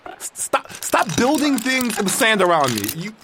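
A man's voice: high-pitched, sing-song, normal speed, background noise. A man playing a sardonic, easily irritated young exiled tribesman recording an audio journal in a drama. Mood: annoyed. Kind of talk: audio drama acting, first-person journal monologue.

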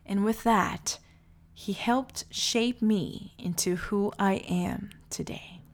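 A woman in her late thirties from Brazil, calmly telling her life story in a reflective monologue. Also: medium pitch, slow pace. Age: 30s.

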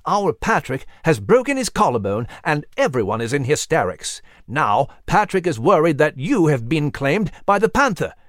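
A man voicing a proper, old-fashioned gentlemanly bird in a storybook, formal and urging. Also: on the high side, big pitch swings, moderate pace, few pauses, no room sound, studio quality. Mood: urgent.

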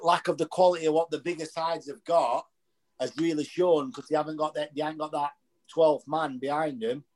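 A man, chatting as a podcast guest in a rambling, animated way. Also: fairly high pitch, brisk. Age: 30s. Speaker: guest, boxing coach. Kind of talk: casual podcast chat.

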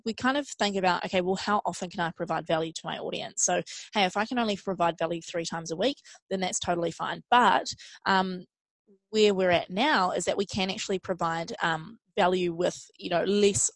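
Female expert guest, slightly low voice, dry sound, conversational explainer. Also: fairly quick, no echo. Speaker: social media expert guest. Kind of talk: casual interview answers.

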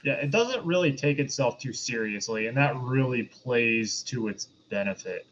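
A man's voice: mid-range voice, expressive, moderate pace, a bit bright. A man chatting casually as a podcast co-host, on a muffled recording. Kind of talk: casual chat. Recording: dull lo-fi sound, faint noise.

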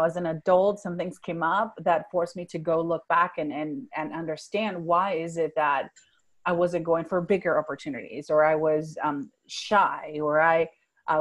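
A woman's voice: low voice, fairly even intonation, moderate pace. Rich: An adult woman from Venezuela, speaking English as a later language. She tells her own story as an interview guest, earnest and reflective, often restarting a thought and checking in with "right?". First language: Spanish.

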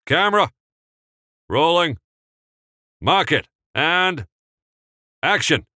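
A man voicing a commanding male film director who shouts orders on set. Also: fairly low pitch, sing-song, a little slow, dry recording, clean studio sound, pitched above the performer's own voice, exaggerated voice. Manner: barking, emphatic.

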